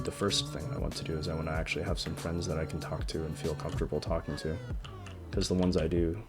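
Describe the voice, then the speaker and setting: A young man in his twenties with a deep voice, rambling hesitantly about his life in a personal vlog, often stopping and restarting. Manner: hesitant, halting, frequent restarts. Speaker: vlogger. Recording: music playing under the voice. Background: background music.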